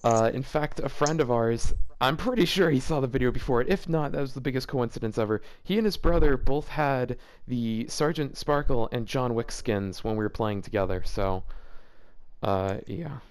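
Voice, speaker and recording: A man's voice: medium pitch, normal speed, clean tone, no room sound. A man hosting a casual read-aloud of fan fiction, rambling and breaking off into joking asides. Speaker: host reading fanfiction aloud for a video series.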